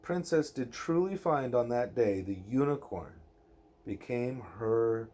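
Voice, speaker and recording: A young man, a parent reading a storybook aloud to a child, expressive and gentle, slipping into casual asides. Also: mid-range voice, measured pace. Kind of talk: storybook read-aloud mixed with casual family chat. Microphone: phone recording, held or propped nearby.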